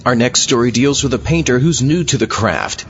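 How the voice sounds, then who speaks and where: A man's voice: medium pitch, animated, moderate pace, a bit bright. A man hosting with a theatrical, scripted style of story introduction. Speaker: show host. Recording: slightly dull recording, faint music. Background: faint music.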